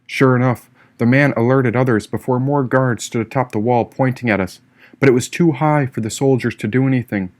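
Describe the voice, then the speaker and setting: Expressive male audiobook narrator, mid-pitched. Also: a little fast. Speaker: author reading own audiobook. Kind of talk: dramatic first-person audiobook narration.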